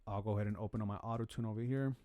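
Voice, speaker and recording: A man hosting a music production tutorial from a home studio, talking through his steps in a relaxed, rambling way with lots of fillers and restarts. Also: very fast, no echo, lo-fi sound. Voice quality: deep voice. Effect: auto-tune pitch correction on some lines. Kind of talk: casual screen-recorded tutorial. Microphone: studio vocal microphone.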